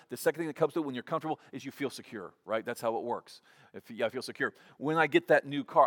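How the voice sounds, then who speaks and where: A man in his fifties delivers a sermon in a conversational preaching style. He often restarts his phrases by repeating words before he carries on. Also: mid-range voice. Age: 50s. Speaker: pastor.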